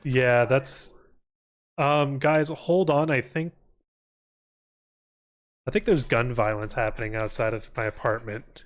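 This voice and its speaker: A man's voice: medium pitch, steady tone, slow, pauses often. A man chatting casually on a livestream, rambling informally and hesitantly, often trailing off mid-thought. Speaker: live streamer. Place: apartment room.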